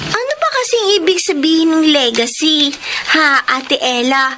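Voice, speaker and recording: A woman's voice: high-pitched, sing-song, moderate pace, over loud music. A curious, pleading young girl. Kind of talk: radio drama acting.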